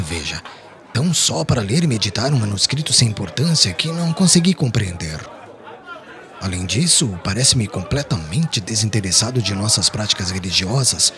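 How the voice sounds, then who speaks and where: A man acts out a respectable older merchant in an audiobook drama, worried for his brother and given to long, formal speeches. His voice sits on the low side and moves in lively, expressive swings. Music plays underneath. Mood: concerned. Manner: earnest, formal, loquacious.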